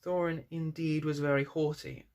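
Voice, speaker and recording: A man's voice: a little high, little pitch movement, moderate pace, a little mellow. A man reading a children's fantasy story aloud as narrator.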